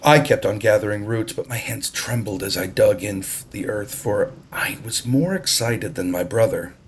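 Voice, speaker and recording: A man's voice: lowish voice, moderate pace, a little hiss or hum. A man reading a story aloud as an audiobook-style narrator. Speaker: audiobook reader.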